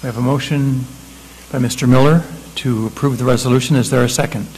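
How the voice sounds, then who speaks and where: A man chairing a city council meeting, brisk and procedural as he calls motions and votes. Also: medium pitch, fairly even intonation, a little mellow, breathy edge, poor audio.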